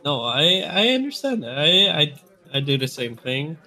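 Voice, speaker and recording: A young man with a somewhat high voice talking casually on a podcast. He stammers and restarts often, with a giggly, easygoing manner. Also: very animated, moderate pace, bright, forceful tone. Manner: hesitant, stumbling, giggly. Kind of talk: casual chat.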